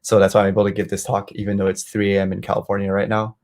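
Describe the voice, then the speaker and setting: Malaysian-raised guy on a video call, low gravelly voice, lots of ums. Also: very fast. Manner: relaxed, many ums and likes. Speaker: guest speaker, software engineer. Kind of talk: online meetup presentation.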